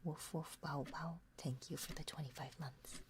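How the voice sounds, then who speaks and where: Soft, low-pitched woman whispering ASMR. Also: steady tone, normal speed. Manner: soft-spoken, hushed, slipping into whispers. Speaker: livestream host. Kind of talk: ASMR casual livestream chat, often whispered. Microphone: close binaural microphone. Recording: close binaural capture with faint noise. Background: faint noise, soft clicks of a ticking clock.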